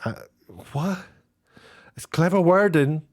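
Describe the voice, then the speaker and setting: A man's voice: a little high, slow pace. British male commentator, casual and opinionated, mocking delivery with an incredulous, exasperated edge. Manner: sarcastic, mocking. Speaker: YouTube commentator.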